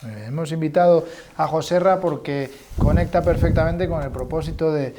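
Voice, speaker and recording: A male host opens the program and puts questions to his guest. His voice sits fairly high for a man. He speaks formally but often fills pauses and repeats words. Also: background noise. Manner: formal, with frequent fillers and repetitions. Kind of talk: interview hosting.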